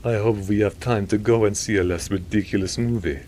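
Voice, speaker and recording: A man's voice: fairly low pitch, fairly even intonation, brisk, darkish tone, slight background noise, pitched above the performer's own voice, lightly acted. A man voicing an amused, composed male priest in a story, with a wry, teasing manner. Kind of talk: audiobook character dialogue.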